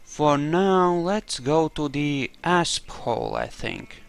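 A man's voice: fairly high pitch, huge pitch swings, slow pace, vocal fry, clear, resonant tone. A man narrating a video game playthrough casually, with laid-back, dry humor and casual swearing. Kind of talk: casual let's play commentary, in-character roleplay.

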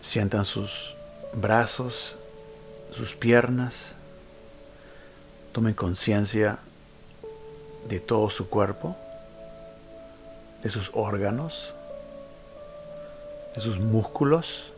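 A man leading a guided meditation, giving instructions in short phrases with frequent pauses. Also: fairly low pitch. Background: background music.

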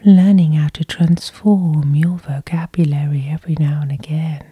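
A woman guiding sleep hypnosis in a gentle, soothing whisper, close to the microphone. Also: deep voice, steady tone, normal speed, breathy edge, no echo. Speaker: hypnosis guide, host. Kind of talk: whispered sleep hypnosis, ASMR guided meditation.

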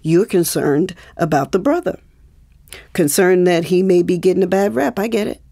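An actress playing an anxious, defensive pregnant wife in a naturalistic audio drama, with an emotional, urgent delivery. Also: female voice, on the low side, moderate pace, dark tone, dry recording, studio quality. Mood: earnest, pleading. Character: anxious, defensive pregnant young wife, pleading with her husband.

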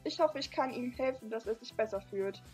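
A woman's voice: fairly high pitch, a little fast, a little mellow, faint music behind. A young woman hosting a let's play, reading visual novel dialogue aloud with playful, giggly asides. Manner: giggly, reads lines playfully.

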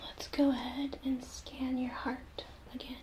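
A young woman whispering a gentle, soothing ASMR role play, reassuring the listener up close in a small room. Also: high voice, level tone, measured pace. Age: young adult.

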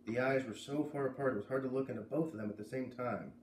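A man's voice: medium pitch, fairly even intonation, fast, distant mic. A man in his thirties narrates a children's novel from a living room. The camera mic sits a little way off, so the room echoes around him, and there is faint noise underneath. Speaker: storyteller reading a children's novel aloud. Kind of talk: read-aloud narration with casual asides. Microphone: camera a few metres away.

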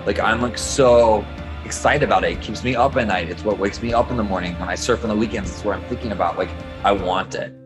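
A man in his thirties, a startup founder gushing with excitement and repeating "like". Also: lowish voice, quick pace, music in the background, rough recording.

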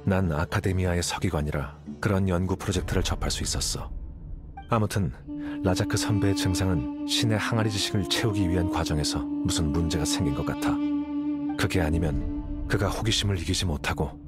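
Game role: rational young man of learning, low register, aloof, unhurried logic, matter-of-fact delivery. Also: very animated, over loud music. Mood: analytical, calm. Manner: measured, detached, matter-of-fact. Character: aloof rational young male scholar, coolly self-assured. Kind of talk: anime game voice acting.

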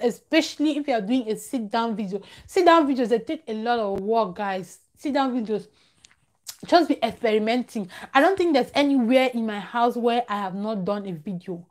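Young lady chatting to her live viewers, kinda high voice, says things twice to stress them. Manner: emphatic, repeats phrases for stress. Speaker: vlogger, live-stream host. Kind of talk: casual live-stream chat, advice to viewers. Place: small room at home, close to a plain wall. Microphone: clip-on lavalier at the collar.

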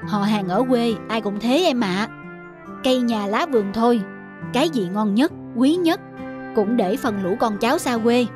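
A man's voice: very high-pitched, moderate pace, over music. A man voicing a generous, easygoing young husband in a cartoon family drama. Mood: earnest. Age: young adult.

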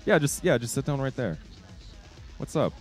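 A man's voice: medium pitch, sing-song, moderate pace, faint music behind. A man hosting a live show in a casual, chatty style. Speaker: co-host.

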